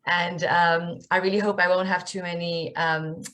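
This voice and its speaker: A woman's voice: on the low side, little pitch movement, moderate pace, fairly bright tone. A woman in her forties from Israel, with an Israeli accent, giving a candid personal talk as a guest speaker over a video call.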